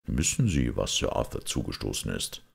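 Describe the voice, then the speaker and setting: Man as kindly priest, calm, earnest, very deep, gravelly, audiobook mystery. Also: normal speed, exaggerated voice. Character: small, kindly, shrewd priest detective.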